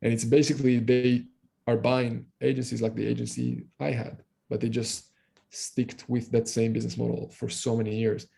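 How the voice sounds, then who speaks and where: A man in his thirties from Israel, a guest talking casually on a video call, fast and loose with many fillers and restarts. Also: medium pitch, little pitch movement, vocal fry, warm tone. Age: 30s. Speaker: guest entrepreneur. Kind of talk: casual interview talk. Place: room at home.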